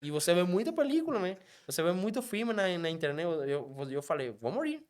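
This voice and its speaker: Fast-talking man with a Cuban Spanish accent. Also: high-pitched. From Cuba. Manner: animated, quick, mixes Spanish words into Portuguese. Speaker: guest, app driver. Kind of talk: casual storytelling interview.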